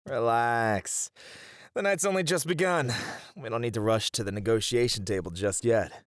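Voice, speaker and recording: A man's voice: medium pitch, normal speed, dry recording, studio quality, close to an everyday voice. A man playing a flirty, cheeky hare-eared mafia boss in an ASMR roleplay, teasing and playful as he charms his rivals. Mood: playful, welcoming.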